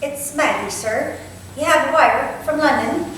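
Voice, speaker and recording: A woman's voice: high voice, unhurried, a bit bright. A woman playing a kindly, deferential young Victorian housemaid, chatting in a homely way in a staged play reading. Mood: chatty, deferential. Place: indoor theatre space, staged reading. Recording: very echoey hall, distant mic, faint noise.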